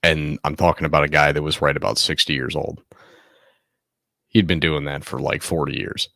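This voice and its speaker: A man in his thirties hosting a casual late-night solo podcast from home, speaking softly and close to the microphone in a laid-back, candid way. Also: extremely low pitch, flat delivery, normal speed, vocal fry, dry recording. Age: 30s. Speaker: podcast host.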